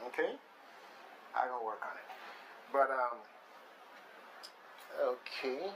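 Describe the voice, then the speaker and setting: A man with a Caribbean accent from the Virgin Islands hosts casual live radio, talking playfully and haltingly and repeating his phrases. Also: medium pitch, animated, a bit bright, a little hiss or hum, rough recording. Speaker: radio show host. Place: radio studio with shared microphones.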